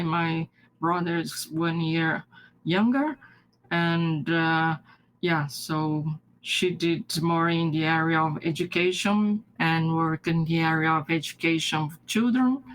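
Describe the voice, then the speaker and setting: An older woman from Brazil whose first language is Japanese speaks in an unhurried interview. Her voice sits fairly low and she takes her time. She halts, restarts phrases and laughs softly as she recalls her early years. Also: a little hiss or hum, rough recording. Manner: halting, restarts often, soft laughs. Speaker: interviewee, retired mathematics professor. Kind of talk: recorded life-story interview.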